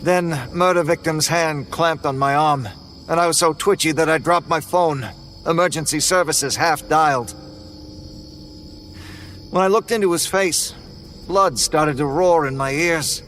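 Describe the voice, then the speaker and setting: Man, horror narrator, tense measured delivery, slightly high voice, faint music. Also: fairly bright tone. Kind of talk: first-person horror narration.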